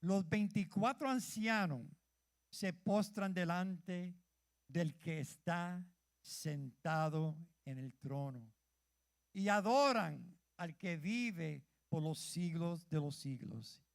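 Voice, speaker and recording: Fervent high-voiced man preaching. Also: animated, slow pace, gravelly. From Puerto Rico. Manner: fervent, calls out questions to the congregation, stumbles over words. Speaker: church leader preaching. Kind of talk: sermon.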